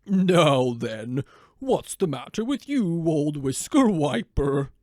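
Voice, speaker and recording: A hearty donkey out of a storybook, voiced by a man. He booms his words slowly and swings up and down, friendly and a little bossy. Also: big pitch swings, darkish tone, dry recording, studio quality. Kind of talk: animated storybook character voice.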